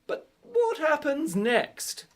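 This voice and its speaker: An eager young literary gentleman, high-pitched and teasing. He drawls slowly and theatrically, as in a radio play. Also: sing-song, full character voice. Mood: eager, critical. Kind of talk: live radio drama acting.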